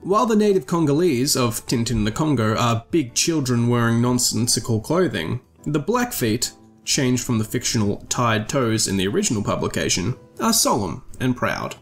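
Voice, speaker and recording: A man's voice: medium pitch, very animated, moderate pace, faint music behind. A man hosting a podcast, reading scripted commentary and then chatting casually through listener comments. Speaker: podcast host.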